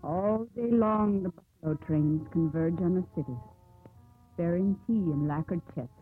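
An actress playing a steely young woman sea captain in 1950s radio drama style, crisp, formal and authoritative. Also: lowish voice, measured pace, faint music behind, close to an everyday voice. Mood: measured narrating. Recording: tinny, noisy 1950s radio broadcast.

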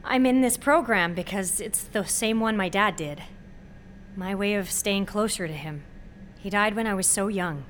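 A woman's voice: medium pitch, normal speed, fairly bright tone, a little hiss or hum, natural voice. An actress playing a sheltered, anxious young female college student who speaks candidly in a naturalistic audio drama. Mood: anxious, confiding. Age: young adult.

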